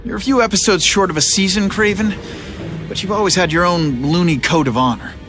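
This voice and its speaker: An actor playing a sarcastic, joking young superhero in a game cutscene. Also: male voice, high-pitched, brisk, music in the background, lightly acted. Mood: wisecracking. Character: wisecracking young male superhero.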